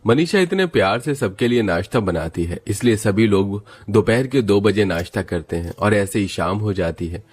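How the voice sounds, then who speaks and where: A man's voice: fairly low pitch, animated, normal speed, few pauses. A man narrating a scripted family moral story.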